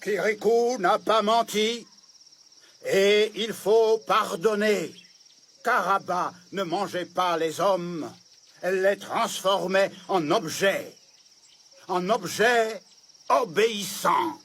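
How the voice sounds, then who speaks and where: An actor playing a wise, calm, solemn elder in an animated film. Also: male voice, high voice, slow pace, heavily acted. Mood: solemn, forgiving.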